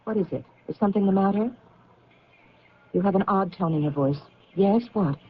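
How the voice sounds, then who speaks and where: An actress in an old-time radio drama playing a brisk, deluded wife searching for her husband, arch and insistent. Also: mid-range voice, natural voice. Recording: dull lo-fi old radio recording.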